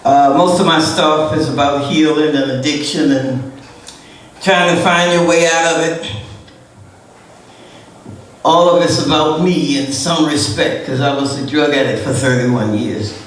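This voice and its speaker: Loud, emotional woman poet in an echoey room. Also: sits low, unhurried, a little hiss or hum. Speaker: spoken-word poet. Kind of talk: spoken-word poetry performance with talk between pieces. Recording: echoey room, distant mic.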